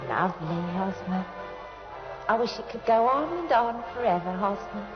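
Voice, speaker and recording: A woman's voice: mid-range voice, expressive, moderate pace, natural voice. A woman playing a lovesick dreamy girl. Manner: soft and wistful. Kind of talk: 1950s radio drama acting. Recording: roomy, muffled old radio recording with music.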